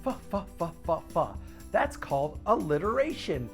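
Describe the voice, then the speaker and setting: A woman hosting storytime, reading a children's picture book aloud in a playful, expressive way that speaks straight to listeners. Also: lowish voice, normal speed, soft background music. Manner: playful, animated, addresses listeners directly. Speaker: storytime host and book reader.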